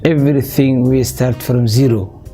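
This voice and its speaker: A Somali-born man in his fifties answering interview questions in a measured way, pausing often with fillers. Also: mid-range voice, fairly even intonation, music in the background. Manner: measured, with frequent uh fillers and restarts. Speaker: interview guest, business owner.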